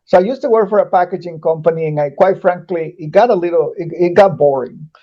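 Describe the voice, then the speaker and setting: A man in his forties from Guatemala, a guest talking through his career in a casual interview, pausing on fillers and restarts. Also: sits high, highs cut off. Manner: thoughtful, frequent fillers and restarts. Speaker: podcast guest, metal recycling executive.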